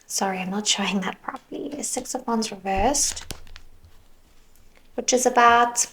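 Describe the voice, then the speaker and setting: A woman giving a tarot reading, musing softly as she goes and sometimes slipping into a whisper, her voice worn as though she is losing it. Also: fairly high pitch, noisy background. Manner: slow, soft, musing, drifts into whispers. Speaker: tarot reader.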